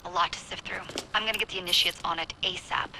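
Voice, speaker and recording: A woman voicing a brisk, commanding leader of a covert team in a video game, with measured, serious delivery. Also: fairly low pitch, steady tone, noisy background, lightly acted. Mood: brisk, businesslike. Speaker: mission controller briefing a recruit. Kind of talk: scripted video game briefing.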